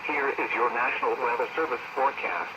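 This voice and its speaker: A man's voice: mid-range voice, steady tone, brisk, highs cut off. Very noisy radio broadcast, male weather announcer. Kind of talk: scripted forecast broadcast.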